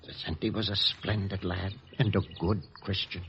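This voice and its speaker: An actor playing a gentle, devout mission priest who speaks softly and formally, in 1940s radio acting style. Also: male voice, sits low, steady tone, normal speed. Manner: soft-spoken, solemn, formal. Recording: dull lo-fi vintage radio recording.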